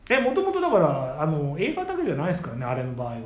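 A man co-hosting a casual podcast chat, bantering and quick to retort with questions. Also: a little high, muffled recording. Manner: animated, quick to retort and question his partner. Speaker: podcast co-host, the senior of the duo. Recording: roomy echo, faint background noise.

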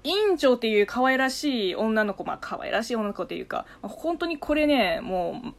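Young chinese native woman, chatty n animated, highish voice that goes up and down a lot, laughs easy. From China. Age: young adult. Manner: animated, talkative, quick to laugh. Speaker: radio talk host, amateur voice actor. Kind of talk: casual chat between friends.

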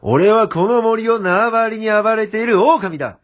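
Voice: mid-range voice, unhurried, dull audio, close to an everyday voice. An amateur radio drama actor playing a hapless, blustering male wolf who whines and retorts in exasperation. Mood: menacing, exasperated. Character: hapless male wolf, blustering villain turned put-upon porter.

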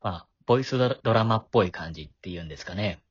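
A man's voice: sits low, lively intonation, racing, breathy edge, no echo. A man who works as a voice actor and writer, chatting casually and full of compliments about a voice drama. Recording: dull lo-fi audio.

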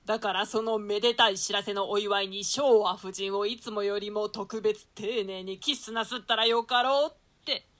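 Villainous duke for an archaic radio Shakespeare, woman actor: forceful bright tone, sly, ruthless, lordly. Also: medium pitch, fairly even intonation, normal speed, few pauses, lightly acted. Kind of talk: theatrical Shakespeare reading in archaic Japanese translation.

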